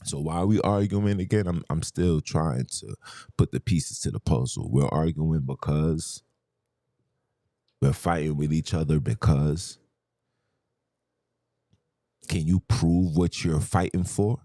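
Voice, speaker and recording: A man's voice: very deep voice, a little slow, warm tone, dry recording, clean studio sound. A man hosting a podcast, arguing in a heated monologue full of rhetorical questions and emphatic repetition. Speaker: podcast host.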